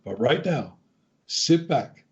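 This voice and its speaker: A man hosting a radio show, reading a scripted introduction in a deliberate, announcer-like way. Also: mid-range voice, expressive. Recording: slightly dull, lo-fi sound.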